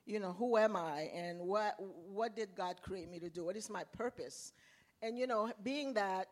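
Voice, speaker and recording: A woman's voice: mid-range voice, unhurried. Earnest woman from Haiti giving testimony. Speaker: ministry leader sharing testimony.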